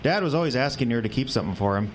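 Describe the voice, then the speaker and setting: A man's voice: mid-range voice, animated, racing, lightly acted. A man playing a wry, wary older family man with a dry, folksy manner in a radio drama. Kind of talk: audio drama acting. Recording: clearly noisy amateur radio drama recording.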